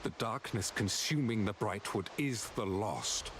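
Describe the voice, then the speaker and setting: A man plays a stern game knight with a low voice and formal, earnest delivery. He speaks with solemn resolve, while noisy ambience runs behind the dialogue. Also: measured pace, close to an everyday voice. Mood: solemn, resolute. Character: stern, resolute male knight sworn to an oath. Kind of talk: scripted video game dialogue.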